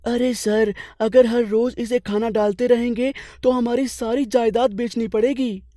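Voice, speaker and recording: A man's voice: very high-pitched, fairly even intonation, measured pace, dark tone, no room sound, studio quality, pitched above the performer's own voice. A man voicing a naive, lazy, whining male servant with a sly streak in a comic cartoon folk tale. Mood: naive, protesting.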